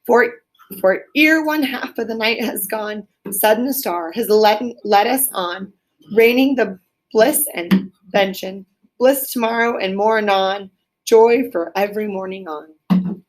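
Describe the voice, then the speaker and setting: A woman voicing a polite field mouse who leads little carol singers in a storybook, respectful and deliberate. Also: medium pitch, natural voice, low-quality recording. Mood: festive reciting.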